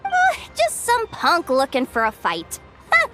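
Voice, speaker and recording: A cocky young woman guard from a video game, speaks in a very high voice that swings up and down. She takes her time over brash, teasing lines and puts on a theatrical tough act. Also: wildly swooping pitch, unhurried, loud music behind the voice, exaggerated voice. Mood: boastful, relieved.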